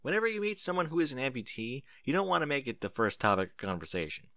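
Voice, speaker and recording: A man's voice: medium pitch, sing-song, quick pace, bright, forceful tone, no room sound, clean studio sound. A man voicing an earnest, know-it-all pony in a story, explaining things emphatically. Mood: earnest, advising.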